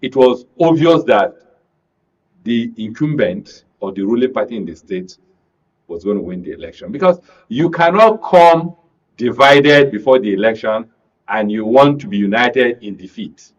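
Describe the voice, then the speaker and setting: A man with a Nigerian accent commenting on politics as a panel guest, deliberately, in short broken phrases. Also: medium pitch, expressive. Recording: dull lo-fi broadcast audio.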